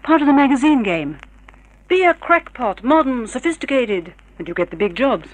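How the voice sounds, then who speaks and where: A woman's voice: high-pitched, very animated, moderate pace, poor audio. A woman playing an arch, sophisticated, flirtatious society woman in a vintage radio drama. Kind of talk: 1950s radio drama acting.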